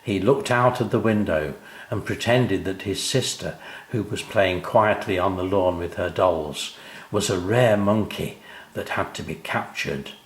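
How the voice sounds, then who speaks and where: An older man narrating a children's story, unhurried and expressive, speaking directly to young listeners. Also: deep voice, reverberant.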